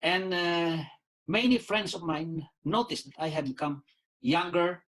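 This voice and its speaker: A man in his sixties from the Philippines with a Filipino accent, a doctor and lawyer giving a casual spoken testimonial, pausing often with "uh", repeating words and saying "you see". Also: a little high, fairly bright tone. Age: 60s.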